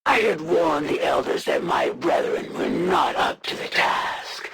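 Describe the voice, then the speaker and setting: Creaky alien assassin lady, video game villain, haughty and formal, breathy endings, really swoops around in pitch. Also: sing-song, measured pace, a crowd in the background, full character voice. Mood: cold pride. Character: proud, menacing female alien assassin, disdainful of her rivals.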